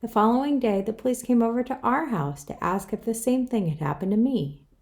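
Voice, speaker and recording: A woman's voice: on the high side, fairly quick, a little mellow. A woman author reading her own children's book aloud, telling it in the first person. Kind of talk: scripted children's story read-aloud.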